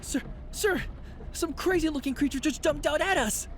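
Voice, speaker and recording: An actress playing an alarmed, excitable subordinate in a video game. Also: female voice, sits high, moderate pace, slight background noise, close to an everyday voice. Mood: panicked.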